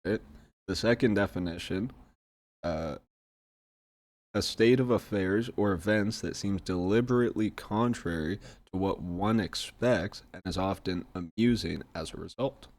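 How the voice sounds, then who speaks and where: A man with a hoarse throat, getting over an illness, hosting a solo podcast in a reflective, rambling way. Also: low-pitched, measured pace, a little mellow. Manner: reflective, rambling, many fillers. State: hoarse, recovering from a sore throat. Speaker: podcast host. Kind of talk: casual solo podcast talk.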